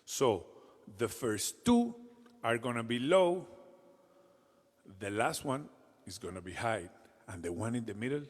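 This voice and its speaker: A man from Venezuela teaching a music rhythm to children, in a halting way that restarts words. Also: medium pitch, very animated, breathy edge, long reverb tail. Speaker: percussion teacher, guest performer.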